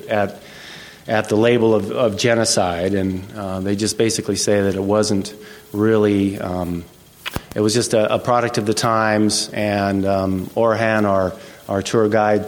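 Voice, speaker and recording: Man, lowish voice, halting, repeats words, fillers, hall reverb. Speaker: trip panelist. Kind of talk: casual group discussion.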